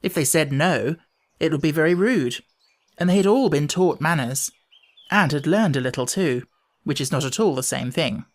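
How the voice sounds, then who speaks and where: A woman's voice: deep voice, lively intonation, normal speed. A woman reading a children's audiobook as its narrator, in scripted storybook narration.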